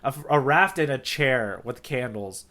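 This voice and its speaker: A man's voice: mid-range voice, lively intonation, a little slow, sharp tone. A man co-hosting a podcast, chatting casually and enthusiastically as he explains his thoughts and checks in with "right?". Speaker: podcast co-host. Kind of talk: casual chat discussing a manga.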